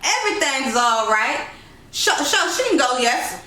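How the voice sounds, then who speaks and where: A woman's voice: high-pitched, very animated, a little slow, sharp tone, voice pushed up, exaggerated voice. A woman voicing a fiery, scolding old Southern grandmother in a storybook reading. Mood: eagerly agreeable. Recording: roomy, distant, noisy home recording.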